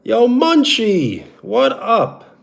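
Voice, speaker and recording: A young man with a Brooklyn accent, live streaming and chatting casually with his viewers. He greets them with a loud, drawn-out "yo" and talks like a sports radio personality. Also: extremely high pitch, huge pitch swings, gravelly. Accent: Brooklyn, New York. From New York.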